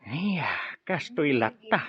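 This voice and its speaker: An actor playing a devout, fretful but friendly middle-aged Filipino father in an Ilocano radio drama, with an expressive, drawn-out delivery. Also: fairly high pitch. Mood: worried, hopeful. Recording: muffled radio drama audio.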